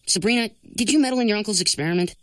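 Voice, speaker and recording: A woman's voice: medium pitch, racing, fairly bright tone, close to an everyday voice. A woman playing an earnest, worried, loyal teenage boy in a cartoon. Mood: scolding. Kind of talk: cartoon voice acting. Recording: music behind the voice.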